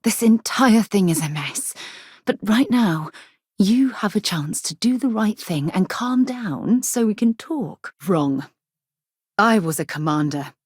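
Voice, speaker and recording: An actress playing a principled, warm, battle-tested space explorer and former commander in a video game, earnest and articulate. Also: female voice, medium pitch, lively intonation, a little slow, slightly rough, no echo, studio quality. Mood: stern. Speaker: game companion character. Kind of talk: video game voice acting, dramatic dialogue.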